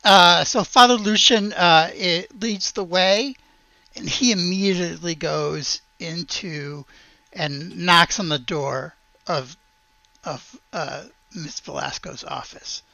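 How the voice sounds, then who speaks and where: A man acts as game master for a tabletop roleplaying game, narrating in a bright, fairly high voice with a gravelly edge. He speaks haltingly, searching for words. The sound is dry and close. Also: no room sound, dull audio.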